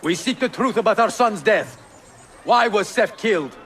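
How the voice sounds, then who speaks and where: Grieving master assassin, highish voice, forceful, swings around a lot, bit of noise behind. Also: male voice, animated, normal speed. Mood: demanding. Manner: grave, forceful. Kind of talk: dramatic video game cutscene acting.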